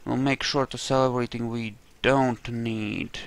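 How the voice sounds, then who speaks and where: A man's voice: mid-range voice, fairly even intonation, measured pace, smooth. A man giving casual game commentary, chatty and thinking aloud as he plays. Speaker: gamer narrating a playthrough.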